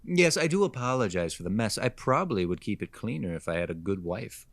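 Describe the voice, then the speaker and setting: A man's voice: fairly low pitch, very animated, fairly quick, dry recording. A man playing a condescending, sexist wealthy homeowner with a haughty, insinuating manner in an audio drama. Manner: hesitant fillers, haughty, insinuating.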